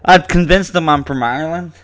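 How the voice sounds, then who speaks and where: High-voiced man rambling and laughing. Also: brisk, a bit bright, clean tone, highs cut off. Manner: rambling, laughs often, swears freely. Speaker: podcast co-host. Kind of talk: casual podcast banter.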